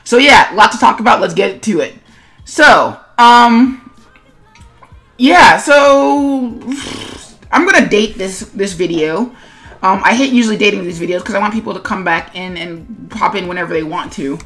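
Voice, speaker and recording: A woman's voice: mid-range voice, measured pace, a bit bright, soft background music. A woman hosting a casual, unscripted speed-paint commentary as a webcomic artist, talking in a rambling, hesitant way and often correcting herself. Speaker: webcomic artist and video host.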